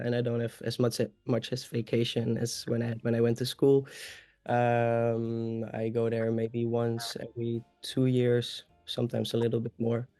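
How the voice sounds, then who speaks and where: A man's voice: medium pitch, a little mellow, no room sound. Chatty young Austrian man, open and talkative. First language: German. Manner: open, chatty, with fillers and restarts. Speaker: guest. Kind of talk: casual conversation.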